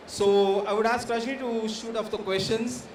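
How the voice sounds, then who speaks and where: Higher-pitched Indian man in an echoey, noisy venue. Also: steady tone, normal speed. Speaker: art director and quiz co-host. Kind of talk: informal live event address to an audience. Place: comic convention event. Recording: echoey, noisy event venue, distant pickup.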